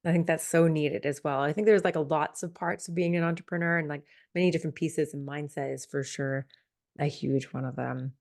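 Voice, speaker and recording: A woman's voice: sits low, steady tone, fairly quick, vocal fry, darkish tone. A woman hosting a podcast interview, enthusiastic and affirming toward her guests. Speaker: podcast host.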